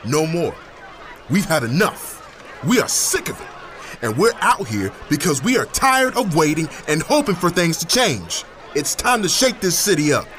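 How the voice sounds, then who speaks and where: An actor playing a courteous but fed-up protest leader, speaking with formal, emphatic passion in an audio drama. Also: male voice, on the high side, big pitch swings, normal speed, a bit bright, a little hiss or hum. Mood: impassioned, fed up. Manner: formal, emphatic, impassioned oratory. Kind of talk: audio drama street interview.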